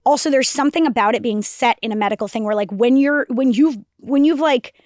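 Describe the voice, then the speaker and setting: Raspy young woman chatting on a podcast. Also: fairly high pitch, brisk, darkish tone, no room sound, studio quality. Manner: rambling, restarts, lots of "like". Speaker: podcast co-host. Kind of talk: casual chat.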